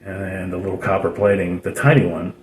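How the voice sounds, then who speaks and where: A man with a low voice talks through a hands-on tutorial off the cuff. He rambles a little, hesitating and doubling back on phrases. The room rings with echo and some noise sits behind him. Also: little pitch movement, a little fast. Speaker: DIY radio hobbyist presenting a tutorial. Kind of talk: unscripted casual how-to explanation. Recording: roomy echo with background noise.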